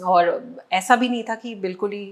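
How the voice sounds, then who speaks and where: A woman in her thirties with an Indian accent, a brand founder answering interview questions, mixing English and Hindi and pausing often on fillers. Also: mid-range voice. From Kuwait. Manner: hesitant with many fillers, laughs often. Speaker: guest, hair care brand founder.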